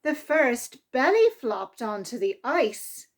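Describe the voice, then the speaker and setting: A woman reading a picture book aloud to young children in an animated, expressive storytime manner. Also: a little high, slow. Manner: expressive, with exclaimed sound effects and asides to listeners. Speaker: library storytime reader.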